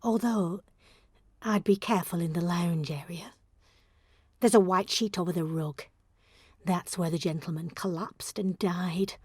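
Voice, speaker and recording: A woman's voice: fairly low pitch, measured pace, long pauses, a little mellow, husky, no room sound, clean studio sound. An actress playing a gossipy, suspicious, chatty female building caretaker in an audio drama. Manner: chatty, busybody. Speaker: actor in an audio play. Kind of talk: naturalistic audio drama dialogue.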